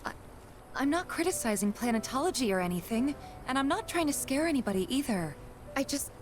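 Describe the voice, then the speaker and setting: A woman playing an earnest, gentle, hesitant young heroine in a video game cutscene, over music. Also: low-pitched. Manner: halting, earnest.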